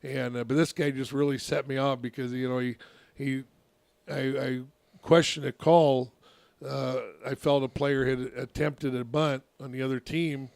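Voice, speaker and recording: A man, a youth softball coach, telling a long story on a casual radio talk show, with a naturally loud voice and many restarts. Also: mid-range voice, flat delivery, normal speed, creaky, no room sound.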